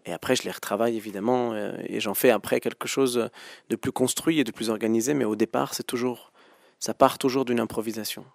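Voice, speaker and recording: A man's voice: mid-range voice, fairly even intonation, a little fast, gravelly, no room sound, clean studio sound. A man in his thirties giving thoughtful interview answers as a guest musician, hesitating often with fillers and restarts.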